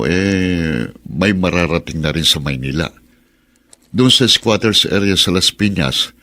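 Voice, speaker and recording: Deep-voiced, practical Filipino uncle. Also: male voice, pushed and bright, exaggerated voice. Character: practical, advising older brother from the city. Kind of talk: Filipino radio drama acting.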